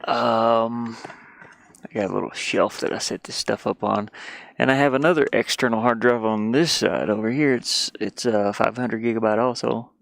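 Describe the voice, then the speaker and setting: A man's voice: mid-range voice, steady tone, normal speed, gravelly, fairly bright tone, no echo. A man streaming casually, rambling and thinking aloud as he goes. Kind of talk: casual live stream chat. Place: inside a van he lives in.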